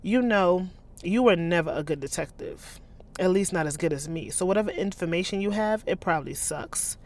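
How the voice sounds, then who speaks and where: A woman's voice: lowish voice, lively intonation, normal speed, darkish tone, dry recording. A woman reading the lines of a defiant, petty female fugitive from a thriller novel, cutting and dismissive. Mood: scornful.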